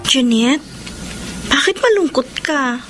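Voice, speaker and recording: A woman's voice: high-pitched, lively intonation, slow, music in the background. A woman acting a concerned, prodding young Filipina in a radio drama with noisy sound. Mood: coaxing.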